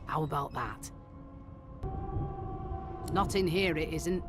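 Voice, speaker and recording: A woman's voice: sits low, slow pace, music in the background. Wry, businesslike female guard. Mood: grim, wry. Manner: wry, matter-of-fact. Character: grim, businesslike female magister guard investigating a murder. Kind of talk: video game voice acting.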